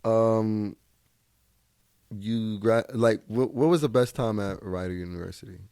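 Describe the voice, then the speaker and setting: Playful man with a lowish voice. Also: a little mellow, smooth, no room sound, studio quality. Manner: playful, joking, chimes in with quick asides. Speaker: guest co-host interviewer. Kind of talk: casual chat.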